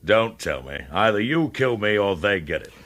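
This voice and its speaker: A commanding, suspicious lawman in a radio thriller. He is curt and blunt, in a lowish voice that jumps about in pitch. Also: animated, moderate pace, fairly bright tone. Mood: guarded, cynical.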